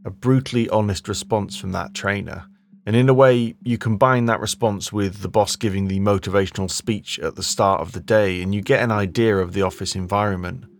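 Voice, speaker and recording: Male documentary narrator, gravelly and lowish, measured delivery. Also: steady tone.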